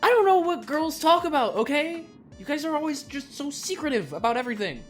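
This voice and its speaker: A woman's voice: high-pitched, big pitch swings, a little fast. A woman voicing an eager, insecure, boyish young man in an audio drama, earnest and a little hesitant. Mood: awkward, insecure. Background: clear music.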